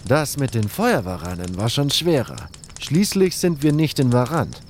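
A man's voice: mid-range voice, sing-song, moderate pace, slight background noise, lightly acted. A man voicing a confident, matter-of-fact hunter in a fantasy audio drama.

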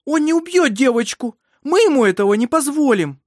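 A woman voicing a fussy but tender-hearted lady in an audiobook, speaking in expressive exclamations. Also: high voice, fairly quick, dry recording, studio quality, voice pushed up. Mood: fiercely protective.

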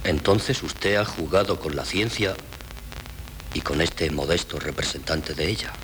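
An actor playing a modest, drily bemused doctor in a 1970s radio play, with deliberate, formal theatrical delivery. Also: male voice, a bass voice, fairly bright tone. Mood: measured. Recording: noisy 1970s radio recording.

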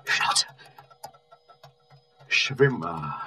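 A man's voice: low-pitched, sing-song, extremely slow, pushed and bright, full character voice. A man acting in a radio play as a harried, irritable doctor who snaps orders and asks terse, insistent questions. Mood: irritable, urgent. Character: harried, irritable male doctor, urgent and snappish. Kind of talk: German radio drama acting.

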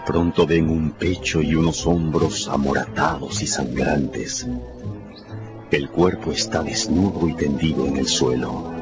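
A man's voice: extremely low pitch, steady tone, a little slow. A man giving theatrical, emphatic scripted narration for a radio drama. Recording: muffled, under prominent music. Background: dramatic music.

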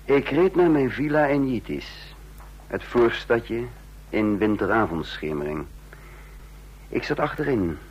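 A cold, calculating spy chief acted by a man in a vintage radio play. He speaks formally and with deliberate menace, his pitch moving widely around a middle register. A faint hum sits under the recording. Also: lively intonation, a little mellow, lo-fi sound, close to an everyday voice. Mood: brooding. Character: cold calculating intelligence chief, narrating his own downfall. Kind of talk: radio drama acting and first-person narration.

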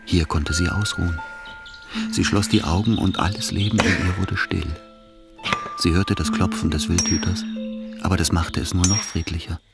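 A grave storyteller with a deep voice. He narrates a literary radio drama in measured, intimate, intense phrases, with loud music playing underneath. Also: fairly even intonation, vocal fry. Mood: hushed, intimate. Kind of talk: scripted literary narration in a German radio play.

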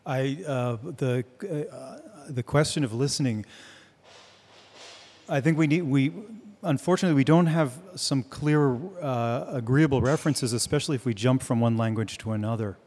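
A man's voice: mid-range voice, moderate pace, darkish tone. A man whose first language is Hebrew, speaking English on a panel in a searching, academic way with many restarts. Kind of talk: reflective panel discussion. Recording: long hall reverb.

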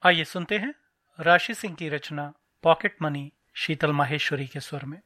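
A man's voice: a little high, normal speed, sharp tone, slight background noise. A man hosting a podcast, giving a scripted radio-style introduction. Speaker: podcast host.

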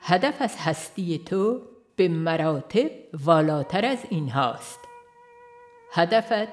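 A woman reading a devotional book aloud in a slow, earnest, reflective way. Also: lowish voice, animated. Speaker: audiobook reader. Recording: faint instrumental music behind.

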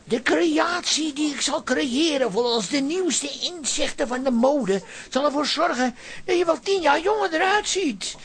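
Earnest wannabe salon artist: very animated, pretentious, radio comedy-drama. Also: male voice, very high voice, a little slow, heavily acted. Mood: grandiose. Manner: grandiose, insistent. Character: earnest, pretentious male amateur hairdressing student.

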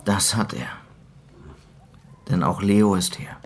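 An actor playing an earnest, concerned western hero in a German radio play, with a serious, questioning manner. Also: male voice, deep voice, slow, noisy background.

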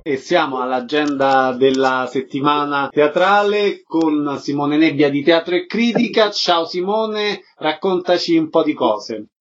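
A man's voice: fairly high pitch, unhurried, faint music behind. A man hosting a radio programme, putting questions to guests and giving announcements. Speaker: radio host interviewer. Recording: slightly dull sound, faint noise.

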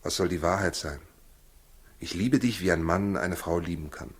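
An actor in a 1960s German radio play as a proud working husband torn by doubt, husky, weary and defensive. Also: male voice, low voice, normal speed. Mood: weary, bitter.

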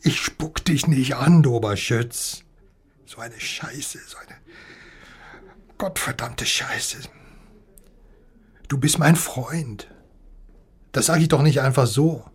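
An actor playing a gruff, loyal and hot-tempered male friend with a blunt, earthy manner in a radio crime drama. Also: mid-range voice, slow, long pauses. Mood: moved, earnest.

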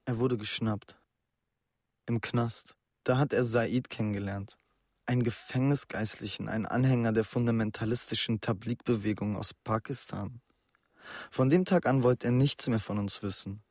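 A man's voice: mid-range voice, fairly even intonation, normal speed, darkish tone, no room sound, studio quality, lightly acted. A young man playing an anxious, earnest schoolboy who confides his worries in a naturalistic radio drama. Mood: confiding, troubled.

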